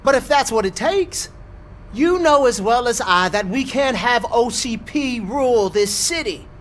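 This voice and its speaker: An actor playing an insistent, scheming mayor candidate in a video game, pressing for support. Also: male voice, very high voice, fairly bright tone, slight background noise. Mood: persuasive. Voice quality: persuasive, insistent.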